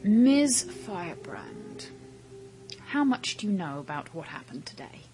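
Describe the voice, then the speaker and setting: A woman acting a formal, intense woman in a school confrontation in an audio drama, measured and breathy. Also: mid-range voice, big pitch swings, mellow, soft background music, low-quality recording. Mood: controlled, probing.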